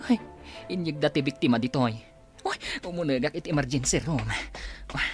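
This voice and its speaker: A woman's voice: low-pitched, fairly quick, music in the background, natural voice. A woman acting a worried, fretting young friend in a radio drama, with an Ilocano Filipino accent. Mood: distressed.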